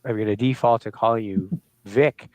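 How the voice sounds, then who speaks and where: A middle-aged man hosting a show, friendly and a little halting, with many fillers, speaking over a video call. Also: on the low side, fairly even intonation, dry recording. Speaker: host. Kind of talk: interview hosting.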